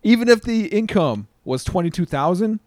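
A man chatting casually as a podcast co-host, in a jokey, animated way with loose, sweary language. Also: high-pitched, moderate pace, no echo, studio quality. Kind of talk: casual chat.

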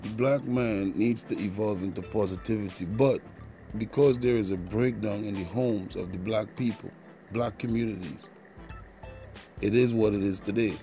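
Low, mellow Caribbean man podcasting. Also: normal speed, soft background music. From Trinidad and Tobago, partly Jamaica. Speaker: podcast host. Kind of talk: informal podcast monologue.

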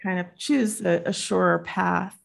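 Gravelly-voiced woman interviewer. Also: fairly low pitch, fairly even intonation, unhurried. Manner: encouraging, frequent backchannel. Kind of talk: conversational interview.

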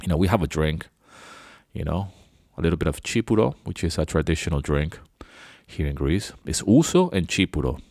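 Very deep-voiced man rambling. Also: big pitch swings, brisk, gravelly, darkish tone, no room sound, clean studio sound. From Venezuela. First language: Spanish. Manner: rambling, loose and chatty. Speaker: podcast host. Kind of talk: casual reflective monologue.

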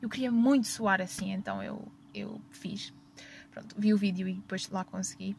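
A woman's voice: medium pitch, moderate pace, creaky, a little hiss or hum. A young woman with a European Portuguese accent, hosting a podcast and chatting casually from a parked car, laughing often and correcting herself as she goes. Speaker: podcast host. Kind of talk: casual personal storytelling.

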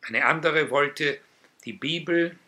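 An older man from Israel, a native Hebrew speaker who is retired and teaches Hebrew, talking in a relaxed, hesitant way as a guest in an interview. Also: fairly high pitch. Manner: slow, thoughtful, with frequent hesitations. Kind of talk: casual interview talk.

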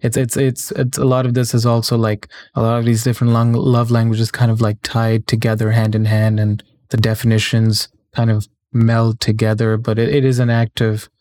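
A man's voice: medium pitch, completely flat delivery, moderate pace, few pauses, darkish tone, no echo. A man hosting a podcast solo in casual chat, rambling and stammering as he searches for words, close on a studio mic. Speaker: podcast host. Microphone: close studio mic on a stand.

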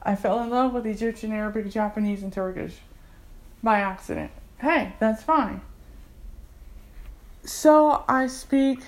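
A woman's voice: fairly high pitch, a little slow, long pauses. A woman in her forties, a community English tutor, speaking earnestly and enthusiastically in a casual personal testimonial. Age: 40s.

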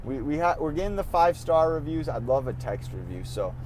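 A young man with a slightly raspy, tired voice hosting a casual solo podcast while driving, rambling and interrupting himself. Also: on the high side, brisk. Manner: rambling, animated, self-interrupting. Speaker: podcast host. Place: in a car, driving outdoors. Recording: faint road noise in a car. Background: car and street noise.

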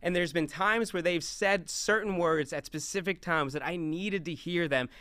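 Loud, animated young man preaching on stage. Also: fairly high pitch, moderate pace, clear, resonant tone, dry recording, studio quality. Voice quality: big loud voice. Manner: animated, talks with hands, moves around on stage. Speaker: youth speaker. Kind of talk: lively stage talk on scripture.

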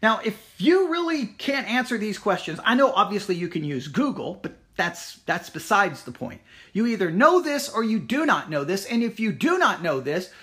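A church teacher speaks informally and directly to listeners. The pitch moves widely and expressively. The delivery is emphatic and challenging, built on rhetorical questions and repeated phrases. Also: big pitch swings, normal speed, fairly bright tone. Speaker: Bible teacher at a Baptist church.

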